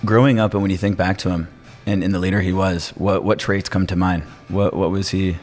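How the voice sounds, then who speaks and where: Guy hosting a podcast, lowish voice, curious questions, soft music playing behind. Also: little pitch movement, brisk. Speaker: podcast host. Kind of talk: interviewing a guest.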